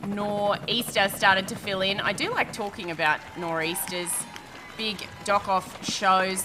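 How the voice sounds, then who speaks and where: A woman hosting a chat show about sailing, upbeat and chatty, with an Australian accent. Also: medium pitch. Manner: upbeat, chatty, laughs easily. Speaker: host. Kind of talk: casual chat and race commentary.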